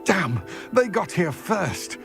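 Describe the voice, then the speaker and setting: Older goblin from a video game, solemn n proud, very formal measured speech, music playing. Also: male voice, high voice, big pitch swings, vocal fry, heavily acted. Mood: urgent, bitter. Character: aging male goblin, solemn, proud, formal former fighter. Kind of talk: video game cutscene acting.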